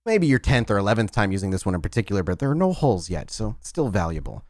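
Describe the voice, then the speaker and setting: A man's voice: lowish voice, very animated, quick pace, darkish tone, no echo, studio quality. A man acting as game master, improvising narration and putting questions to players in a tabletop roleplaying game.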